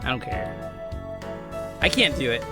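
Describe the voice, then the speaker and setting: A man's voice: medium pitch, big pitch swings, measured pace. A young man reading a visual novel aloud as a let's play host, with irreverent, jokey, sweary casual commentary. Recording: game music behind. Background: music.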